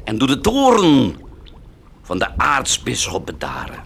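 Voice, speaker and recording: A man's voice: mid-range voice, huge pitch swings, takes it slowly, vocal fry, background noise, heavily acted. A male actor playing an imperious, solemn bishop in a theatrical radio drama, declaiming his commands. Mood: solemn, commanding.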